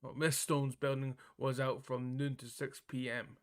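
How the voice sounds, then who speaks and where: A man's voice: mid-range voice, little pitch movement, a little slow, clear, resonant tone. A man streaming a game with casual, crude, joking commentary. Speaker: streamer playing and voicing a visual novel.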